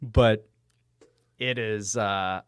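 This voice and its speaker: A man co-hosting a casual podcast, talking in an easygoing, drawn-out way with some swearing. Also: fairly low pitch, fairly even intonation, clean tone, no room sound. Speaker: podcast co-host. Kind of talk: casual chat.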